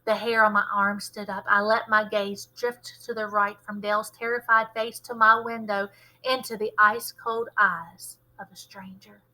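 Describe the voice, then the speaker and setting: A woman reading a children's novel aloud like a teacher, with animated, dramatic storytelling. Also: medium pitch, normal speed, poor audio. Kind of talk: expressive storybook narration.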